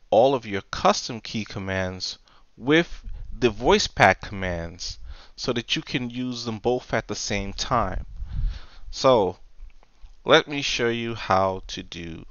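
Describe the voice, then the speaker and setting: A man giving a casual software tutorial, explaining things step by step, close on the mic in a voice-over recording. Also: medium pitch, dry recording, muffled recording. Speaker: tutorial presenter.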